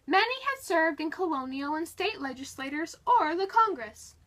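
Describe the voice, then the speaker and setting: A woman's voice: very high voice, animated, normal speed, a bit bright. A woman reading a history book aloud in a dramatic, scripted narration style.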